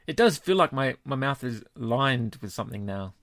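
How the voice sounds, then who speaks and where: A man co-hosting a beer podcast, talking in a relaxed, casual way and cursing freely. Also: medium pitch, moderate pace, no echo, clean studio sound. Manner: relaxed, laid-back, swears casually. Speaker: podcast co-host. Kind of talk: casual chat.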